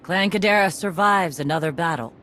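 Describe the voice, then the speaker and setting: A stern female clan leader from a video game , acted by a woman. Her voice is very low for a woman, and she speaks in terse, proud commands over faint background noise. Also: fairly quick, fairly bright tone, close to an everyday voice. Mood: stern, commanding. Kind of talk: scripted video game acting.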